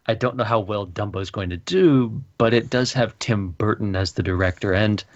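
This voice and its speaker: Low-voiced man on a dull podcast mic. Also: normal speed, dry recording. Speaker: podcast co-host. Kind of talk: casual podcast discussion. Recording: dull lo-fi home mic, dry.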